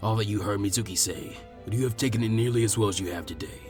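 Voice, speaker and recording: A man voicing a wise, elderly village leader in fan fiction, grave and proud, speaking in measured, solemn phrases. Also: fairly low pitch, a little mellow, soft background music, voice pushed down, lightly acted. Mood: proud, gentle. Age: older. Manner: measured, solemn, with weighty pauses. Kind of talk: acted dialogue in fan fiction audiobook.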